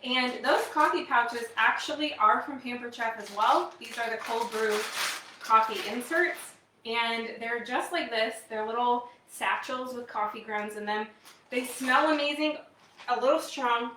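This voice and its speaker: A woman's voice: a little high, a little slow, sharp tone, a little hiss or hum. A woman in her thirties with a Wisconsin accent, chatting casually and upbeat as she hosts a live product demo for viewers. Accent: American Midwestern, Wisconsin. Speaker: live demo host, direct-sales kitchenware consultant. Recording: roomy echo, distant microphone.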